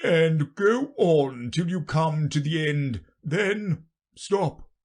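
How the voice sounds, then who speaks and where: A narrator voicing a pompous, muddle-headed old king, loud and emphatic, in a storybook reading. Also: mid-range voice, animated, very slow, voice pushed up. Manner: loud, emphatic, self-important.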